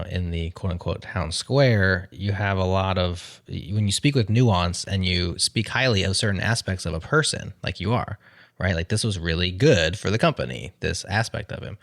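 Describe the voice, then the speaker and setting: An American man hosting a conversational interview, explaining his points in an articulate, measured manner. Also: low-pitched, gravelly, no room sound, clean studio sound. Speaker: podcast host.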